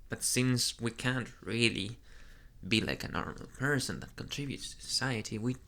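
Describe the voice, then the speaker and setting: A man voicing a soft, flustered young male vampire in an ASMR boyfriend roleplay, speaking hesitantly and earnestly in an intimate murmur. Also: medium pitch, normal speed. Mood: earnest, proud.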